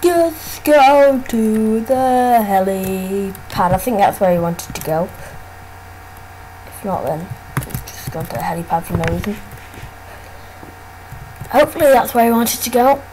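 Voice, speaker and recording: A woman casually commenting on her gameplay, excitable and often exclaiming. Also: mid-range voice, a little slow, pauses often, a little hiss or hum, rough recording.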